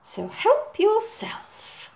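A woman voicing a patient, brisk mother in a storybook, coaxing and exclaiming. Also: very high voice, wildly swooping pitch, gravelly, dark tone, pitched above the performer's own voice, heavily acted.

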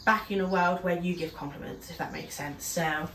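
A woman's voice: on the low side, moderate pace, a bit bright, a little hiss or hum. A young woman with a stuffy nose from a cold, chatting casually with lots of ums and little laughs while trying on clothes at home. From United Kingdom. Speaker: fashion vlogger. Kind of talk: casual try-on haul chat. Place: small room at home, indoors. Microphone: camera across the room. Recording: roomy echo, voice a little far from the mic.

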